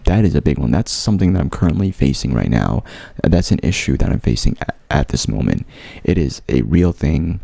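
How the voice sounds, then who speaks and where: A man's voice: very deep voice, animated, brisk, vocal fry, hardly any pauses, mellow, no room sound. A young man with a stutter, earnestly telling his own story to his viewers, repeating sounds and words as he goes. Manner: earnest, open. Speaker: vlogger. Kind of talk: personal storytelling to camera.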